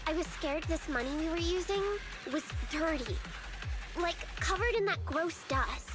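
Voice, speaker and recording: Bratty smart kid girl, super high voice, video game style, music in back. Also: moderate pace. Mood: serious, worried. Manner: sassy and dismissive of grown-ups. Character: precocious, blunt schoolgirl, guilt-ridden but determined.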